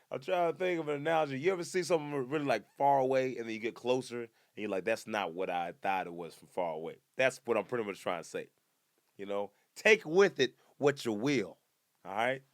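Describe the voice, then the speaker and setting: A man in his twenties doing a casual comedic podcast monologue, animated and breaking into loud high-pitched bursts when he acts out other people. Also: fairly quick, no echo, clean studio sound. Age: 20s.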